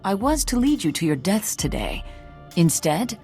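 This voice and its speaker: Game casting: treacherous female Jedi master, smug, insinuating, low and creaky, faint music behind. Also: very animated, moderate pace, a little mellow. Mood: smug, gloating. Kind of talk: video game cutscene acting.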